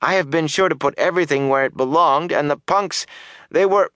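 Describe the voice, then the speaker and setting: A male reader plays an aggrieved male underling from a storybook. The voice rises high and plaintive as he protests and defends himself, in a dry recording. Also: a little fast, fairly bright tone, no room sound, lightly acted. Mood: defensive.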